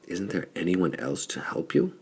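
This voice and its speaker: Rugged male hero, plain-spoken, deep and husky read by a man, audiobook space adventure. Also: huge pitch swings, moderate pace, darkish tone, full character voice. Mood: puzzled.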